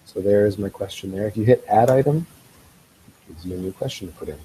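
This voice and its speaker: Guy with a stuffy head cold explaining stuff on a screencast, mellow low voice, bit of hiss. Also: a little fast, rough recording. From Canada. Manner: relaxed, explanatory, with ums and uhs. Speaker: school tech trainer. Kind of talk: screen-share software tutorial.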